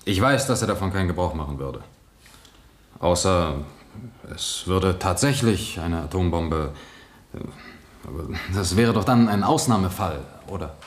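An actor playing a terse, stubborn police lieutenant testifying in a radio drama, with clipped, guarded answers. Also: male voice, deep voice, animated, measured pace, slight background noise. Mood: defensive. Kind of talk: 1970 German radio drama acting. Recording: roomy vintage radio studio sound.

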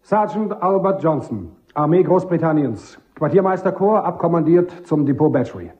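A man's voice: fairly high pitch, lively intonation, normal speed, warm tone, highs cut off, natural voice. A man playing a dutiful army sergeant on the witness stand in a German radio play, reporting in a clipped, deferential, factual way.

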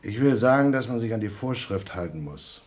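A man's voice: on the low side, animated, brisk, a little mellow, natural voice. An actor playing a rule-minded male astronaut on a moon station in a radio play, speaking in a dry, dutiful way.

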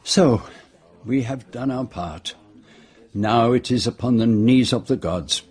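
A shrewd Belgian detective in a radio play. His French-accented speech is measured, courteous and exact, in a slightly husky, middling-to-low voice. He sounds self-assured and used to command. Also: a little mellow. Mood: satisfied. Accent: French-speaking Belgian. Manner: formal, measured, precise phrasing. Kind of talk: radio drama acting.

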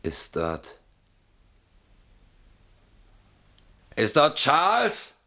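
An actor in a German radio play voicing an evasive, self-justifying man with a guilty conscience, halting and brooding, protesting in sudden outbursts. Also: on the high side, wildly swooping pitch, bright, forceful tone, heavily acted. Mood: anxious, pleading.

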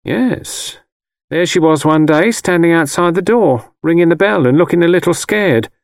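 A man's voice: on the high side, moderate pace, no room sound, studio quality, voice pushed up, natural voice. A man voicing a stern, grey-haired working-class mother, blunt and disapproving, in an audiobook story. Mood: blunt, knowing. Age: older. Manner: blunt, disapproving, dialect turns of phrase.

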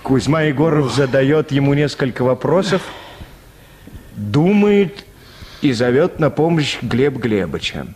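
A man's voice: mid-range voice, animated, unhurried, darkish tone, slight background noise. A man narrating a dramatized literary short story for radio, in scripted prose.

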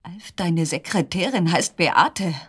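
Husky, anguished wife. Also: female voice, on the low side, lively intonation, a bit bright, exaggerated voice. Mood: accusing. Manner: intense, probing, halting questions. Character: anguished wife accusing her husband's treacherous friend. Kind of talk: German radio drama acting.

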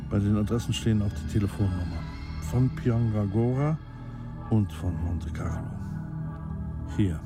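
A man's voice: lowish voice, moderate pace, long pauses, loud music behind the voice, close to an everyday voice. An actor in a radio play voicing a businesslike male arms expert and professional killer, methodical and formally polite in his instructions. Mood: matter-of-fact.

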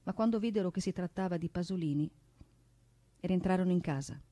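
A woman giving a solemn, theatrical recitation of poetry and prose in a deliberate, dramatic manner. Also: lowish voice, mellow, dry recording. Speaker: stage performer, actress and singer. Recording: piano music under the voice. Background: piano accompaniment.

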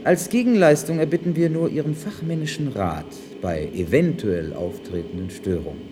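A man acting in a German radio play as a suave, coldly menacing government official with a formal, smooth manner. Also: medium pitch, moderate pace, over music, lightly acted. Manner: formal, smooth, veiled threats. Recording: noisy archival radio drama recording.

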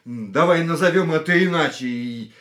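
An actor playing an anxious, earnest man in love in a radio drama, with halting theatrical delivery. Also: fairly high pitch, a bit bright, natural voice. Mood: earnest, pleading. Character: man in love, anxious, pleading, self-doubting suitor. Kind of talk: radio play dialogue and inner monologue.

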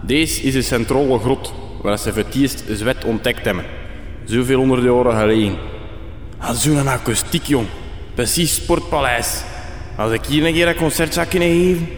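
A man's voice: mid-range voice, normal speed, lightly acted. A man plays a folksy, unbothered sidekick in a radio drama. He talks in colloquial Flemish dialect, and his voice rings in a cavernous echo with faint noise. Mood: folksy, easygoing. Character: laid-back male sidekick, folksy, would-be musician.

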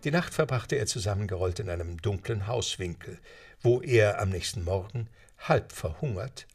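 A man narrating an adventure story in a radio play, composed and lightly wry, in scripted style. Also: on the low side.

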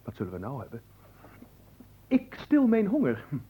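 A man's voice: on the high side, wildly swooping pitch, moderate pace, breathy edge, phone-quality sound, heavily acted. A man playing a cheeky, gluttonous manservant in a radio play, glib and playful, flirting and talking between mouthfuls. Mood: cocky. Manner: glib, playful, talks while eating and humming. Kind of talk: theatrical radio play acting.

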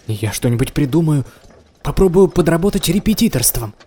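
A man's voice: a little high, expressive, a little fast, mellow, breathy edge. An actor playing an earnest, stubborn and wry young man, a student, in an audio drama. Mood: reserved, firm. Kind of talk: Russian audio drama acting.

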